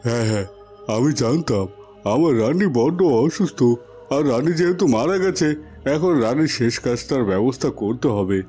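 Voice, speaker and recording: A man plays a troubled, watchful king in a cartoon palace story. His voice is dark and mellow at a middle pitch, over background music. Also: expressive, normal speed, close to an everyday voice. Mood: guarded, accusing. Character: sorrowful, wary king hiding his suspicions. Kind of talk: dramatized cartoon story dialogue.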